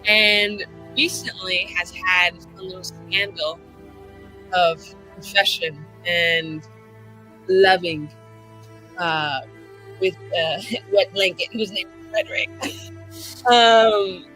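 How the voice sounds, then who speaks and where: A woman with a sharp, bright voice talks as a tabletop gamer. She is casual and blunt, piecing her introduction together in short, halting phrases. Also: on the low side, fairly even intonation, faint music behind, low-quality recording. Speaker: tabletop game player.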